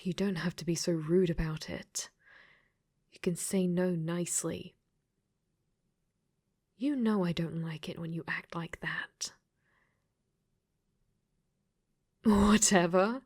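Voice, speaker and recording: Give the slow, lingering voice of a possessive yandere girl from a dating game, acted by a woman. She is an AI character speaking softly in ASMR roleplay, coaxing and teasing, sometimes sinking to a whisper. Her sweetness is clingy and controlling. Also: lowish voice, steady tone. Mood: sulky. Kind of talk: soft ASMR audio roleplay.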